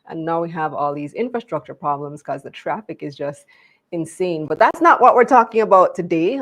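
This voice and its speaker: Low-voiced Jamaican woman hosting. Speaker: show host. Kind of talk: conversational live show hosting.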